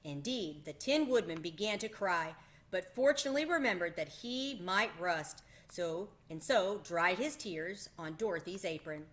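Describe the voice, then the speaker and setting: A woman narrating a children's storybook aloud in an expressive manner, as the host of a read-aloud. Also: on the low side, measured pace.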